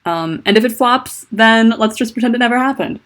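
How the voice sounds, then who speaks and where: A woman with a deep voice and a lisp chats casually on a livestream from home, rambling and self-conscious, with lots of fillers. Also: brisk, fairly bright tone. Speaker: livestreaming fanfiction writer. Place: at home indoors. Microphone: laptop mic.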